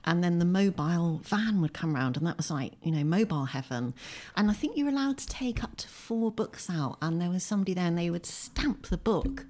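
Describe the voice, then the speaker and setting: A woman's voice: on the low side, expressive, brisk, few pauses, darkish tone. A middle-aged English woman, a writer, chatting informally and enthusiastically as an interview guest at home. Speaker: poet and writer, podcast guest. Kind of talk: conversational interview. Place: at home, indoors.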